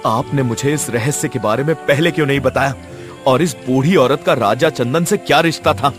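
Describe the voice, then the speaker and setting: A man playing a brave but puzzled young horseman who asks earnest questions in an animated story. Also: mid-range voice, moderate pace, soft background music, close to an everyday voice. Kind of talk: animated story character acting.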